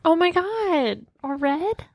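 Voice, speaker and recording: A woman's voice: very high-pitched, big pitch swings, slow pace, a little mellow, dry recording. A woman co-hosting a comedy podcast in casual chat, playful and self-deprecating, with some swearing.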